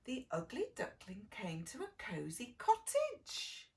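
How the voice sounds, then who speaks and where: Expressive, slow-paced woman storyteller. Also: mid-range voice. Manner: sing-song, dramatic pauses, simple questions to young listeners. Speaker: preschool early years lead reading to children. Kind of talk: expressive children's storybook reading. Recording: distant microphone.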